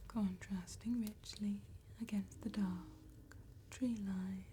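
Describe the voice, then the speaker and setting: ASMR roleplay: female vampire tattoo artist, hushed mellow whisper, very unhurried and deliberate, faint ambient noise behind. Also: mid-range voice, fairly even intonation. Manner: slow, gentle, deliberate enunciation with long pauses.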